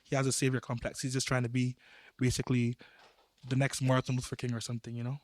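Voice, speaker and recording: A young Barbadian man speaks in a mid-range voice, earnest and explanatory, often letting his sentences fade quieter. The sound is close and dry, with no room around it. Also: medium pitch, monotone, fast talker, no room sound. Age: young adult. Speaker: youth podcast panelist. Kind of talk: casual group discussion.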